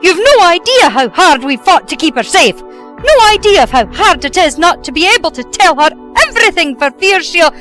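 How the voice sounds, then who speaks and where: A woman voicing a stern, quick-tempered witch who scolds and protects, in an amateur audio drama. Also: very high voice, big pitch swings, over music. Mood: indignant. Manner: scolding, indignant.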